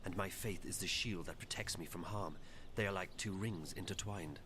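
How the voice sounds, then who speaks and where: A devout warrior apostle for a fantasy game. His voice is very deep, formal and deferential, with an earnest solemnity. Clear background noise sits behind it. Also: normal speed, sharp tone.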